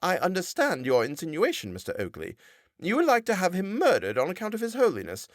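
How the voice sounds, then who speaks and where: A shrill, self-righteous wife in a storybook reading, nagging in a nasal twang, as a male narrator puts her on. Her voice sits high above the reader's own and swings widely. The recording is dry and close. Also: huge pitch swings, fairly quick, no room sound, full character voice. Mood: scolding. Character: nagging pious middle-aged wife. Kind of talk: audiobook character voice.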